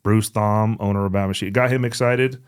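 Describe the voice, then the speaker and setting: Low-pitched man chatting bluntly on a podcast. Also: fairly even intonation, fairly quick, clear, resonant tone, no echo, clean studio sound. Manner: profane, blunt, joking. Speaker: podcast host. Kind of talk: casual chat about hunting gear.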